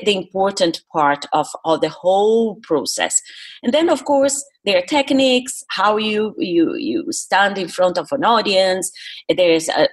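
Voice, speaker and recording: A Brazilian woman, a native Portuguese speaker, talking earnestly and emphatically as a coach being interviewed. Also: mid-range voice. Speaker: guest, public speaking coach. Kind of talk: interview talk.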